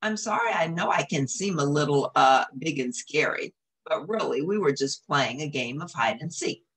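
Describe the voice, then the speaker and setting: A big, playful storybook dog, acted out by a woman, good-natured and folksy. The delivery is exclamatory and swoops widely, with a slightly husky edge. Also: deep voice, animated, moderate pace, fairly bright tone, heavily acted. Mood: coaxing.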